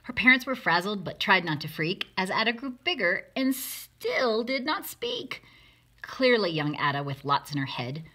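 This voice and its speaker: A woman's voice: mid-range voice, big pitch swings, moderate pace, sharp tone. A woman reading a rhyming children's picture book aloud, expressively, with dramatic emphasis. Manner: dramatic emphasis, acting out lines. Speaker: storybook reader.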